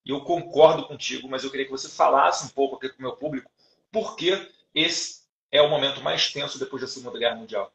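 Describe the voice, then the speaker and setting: A man hosts a live stream in an echoey room, his voice gravelly with fry. He speaks conversationally, repeating words and adding fillers as he introduces and questions a guest. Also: mid-range voice, fast talker, fairly bright tone.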